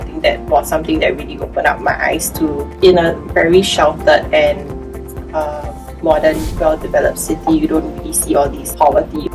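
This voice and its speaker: Woman, lower voice, casual interview chat, food bank staffer, music behind. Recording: slightly distant mic under background music. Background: background music.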